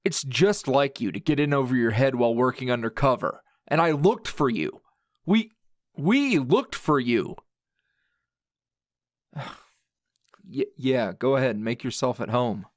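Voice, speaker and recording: A man playing a grouchy, wounded anime hero in a dramatic ASMR roleplay, sardonic and halting in manner. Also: mid-range voice, animated, no echo, studio quality, lightly acted. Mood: shocked, upset. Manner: sardonic, halting, emotionally raw.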